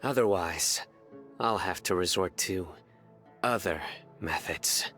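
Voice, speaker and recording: A man's voice: low voice, slow, bright, forceful tone, faint music behind, full character voice. A man playing a stern, feared general in a video game cutscene, terse and coldly menacing. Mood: cold menace.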